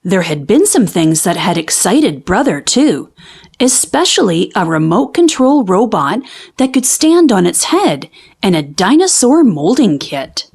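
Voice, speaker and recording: Lady reading a kids storybook out loud, high voice, slow, slightly husky. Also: very animated. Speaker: children's book reader. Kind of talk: expressive read-aloud of a picture book.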